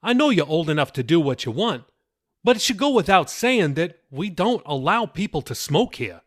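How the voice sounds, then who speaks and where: A man's voice: on the high side, sing-song, moderate pace, pitched above the performer's own voice. A man voicing a middle-aged pastor father who scolds and pleads with his daughter in a raised, earnest voice. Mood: scolding.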